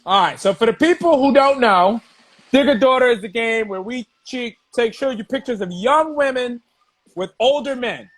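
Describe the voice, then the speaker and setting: A man hosting a comedy talk show, talking casually and excitably, swearing and laughing a lot. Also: extremely high pitch, moderate pace. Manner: profane, excitable, laughs often.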